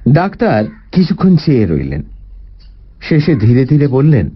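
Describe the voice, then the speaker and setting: Expressive male storybook narrator. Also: medium pitch, normal speed, breathy edge, dull audio. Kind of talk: dramatized first-person storybook narration in a detective story.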